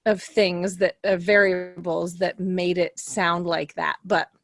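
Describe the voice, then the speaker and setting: A woman's voice: mid-range voice, fairly bright tone, no room sound. Giggly woman chatting casually, dry close sound. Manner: giggly, self-deprecating. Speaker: podcast cast member and player. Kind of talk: casual podcast discussion.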